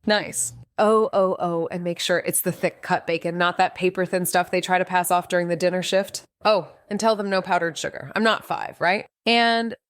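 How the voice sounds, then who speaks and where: A woman's voice: fairly low pitch, fairly even intonation, moderate pace, hardly any pauses, clear, resonant tone, no room sound, clean studio sound, natural voice. A young woman playing a laid-back friend who talks in easygoing slang and is picky about her food. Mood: bossy. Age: young adult.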